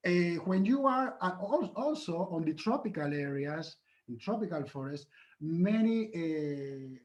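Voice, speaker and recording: A man giving an academic conference lecture and answering questions, earnest and halting, with many fillers and restarts. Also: on the high side, a little mellow. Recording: muffled lo-fi lecture recording.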